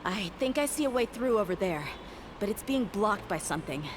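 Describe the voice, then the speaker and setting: Woman as a bold, animated game heroine. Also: medium pitch, fairly quick, background noise, natural voice. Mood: focused. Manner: bold, assured. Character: confident adult female fighter and adventurer, bold and practical. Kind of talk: anime video game voice acting.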